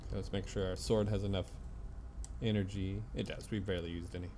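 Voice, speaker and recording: A man's voice: sits low, steady tone, fast, mellow, slight background noise. A man giving casual live commentary on a video game, muttering to himself with short exclamations.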